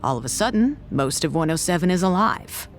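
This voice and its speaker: A woman acting a guarded, suspicious researcher in an audio drama, measured, dry and skeptical. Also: lowish voice, animated, faint music behind, natural voice. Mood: grim, reasoning.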